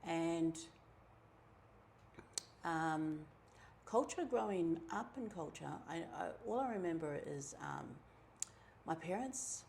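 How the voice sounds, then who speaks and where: Woman, New Zealand accent, middle-aged, low pitch, relaxed storytelling, faint noise. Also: takes it slowly, gravelly, pauses often. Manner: relaxed and chatty, with many ums and quoted asides. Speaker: community member telling her story. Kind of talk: casual personal storytelling.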